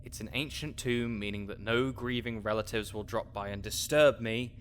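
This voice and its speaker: A man's voice: fairly low pitch, steady tone, moderate pace, slight background noise, lightly acted. A man playing a grouchy, fussy necromancer researcher in an audio roleplay, sarcastic and wordy as he speaks to the listener. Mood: dry, explanatory.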